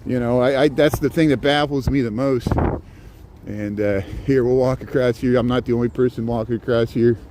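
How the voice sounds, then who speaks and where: A man's voice: mid-range voice, steady tone, normal speed. A man from southern Indiana livestreaming as he walks, chatting in a rambling way and answering viewer comments. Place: outdoors on cold city streets. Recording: faint street noise outdoors. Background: city traffic and street ambience.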